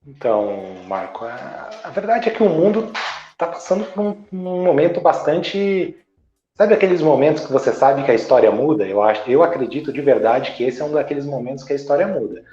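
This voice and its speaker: A man from Santa Catarina, Brazil, answers as an interview guest, explaining at a measured pace and often stopping to search for the right word. His voice is soft and warm. The room is roomy and echoing, and the sound is dull and lo-fi with faint music underneath. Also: a little high, expressive. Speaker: guest, businessman and journalist.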